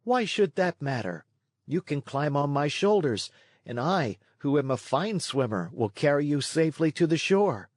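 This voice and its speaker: A man's voice: on the high side, sing-song, normal speed, no room sound, studio quality. A man voicing an eager, earnest and plucky wooden puppet boy in a storybook read-along. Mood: curious, urgent.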